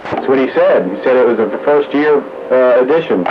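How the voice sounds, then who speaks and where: A man's voice: medium pitch, expressive, a little fast. Noisy, muffled CB radio man chatting casually. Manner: folksy CB radio lingo. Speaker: CB radio net operator.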